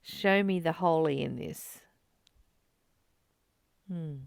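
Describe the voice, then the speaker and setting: A woman's voice: low-pitched, slow, vocal fry, clear, resonant tone, dry recording, clean studio sound. A woman hosting a spiritual study, reading and commenting in an earnest, contemplative, affirming manner.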